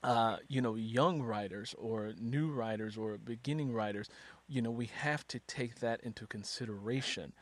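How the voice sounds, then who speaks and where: A man's voice: medium pitch, moderate pace. A man hosting a conversational literary interview podcast, chatty and wordy, repeating phrases for emphasis.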